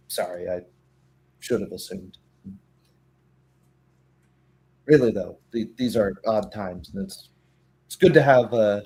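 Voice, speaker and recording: Man playing humble dairy worker woman, low-pitched, grateful, halting, polite, tabletop game. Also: a little mellow, slightly rough. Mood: grateful, apologetic.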